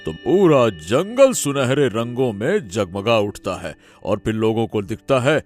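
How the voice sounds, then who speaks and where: A man voicing a protective, fatherly village headman who explains things in an animated moral story. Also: mid-range voice, unhurried, soft background music. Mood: explaining.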